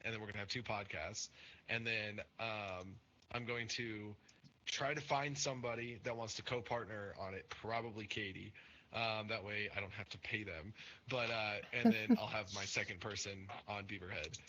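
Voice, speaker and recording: A man's voice: fairly low pitch, steady tone, moderate pace, bright, forceful tone, lo-fi sound. A young man hosting a casual podcast, talking earnestly and rambling with many fillers and restarts. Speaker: podcast host and Twitch streamer.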